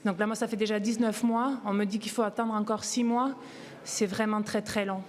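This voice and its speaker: A woman in her forties with a French accent, calmly giving a personal testimony at a press conference. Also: medium pitch, a little fast, long reverb tail. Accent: French (France). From France. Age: 40s.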